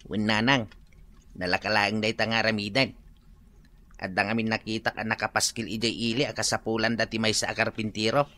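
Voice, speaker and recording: A man's voice: lowish voice, flat delivery, brisk, sharp tone. A man with a Filipino accent playing an easygoing, eager working husband in a radio comedy drama.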